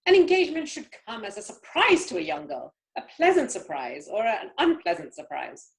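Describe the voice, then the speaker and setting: A haughty society mother played by a woman, imperious and disapproving, with a high voice that swoops emphatically. Every phrase is a command, in the manner of a stage comedy read out on a video call. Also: wildly swooping pitch, fairly quick, low-quality recording. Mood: scandalized, stern. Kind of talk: amateur play read-through.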